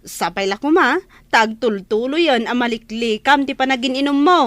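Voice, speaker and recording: A woman's voice: high voice, normal speed, no echo, natural voice. A woman acting a fretful young woman nagging her older brother in a Filipino radio drama, with a Filipino accent. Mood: chiding, concerned.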